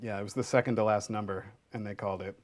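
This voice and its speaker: A man hosting a casual show, speaking hesitantly with many pauses and fillers. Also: lowish voice, fairly even intonation. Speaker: host.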